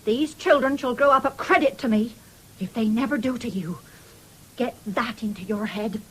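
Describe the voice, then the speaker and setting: An actress playing a proud, long-suffering farmer's wife in a radio drama, firm and scolding, with a husky voice and an English accent. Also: female voice, fairly high pitch, moderate pace, poor audio. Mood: reproachful, defiant. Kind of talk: BBC radio drama acting.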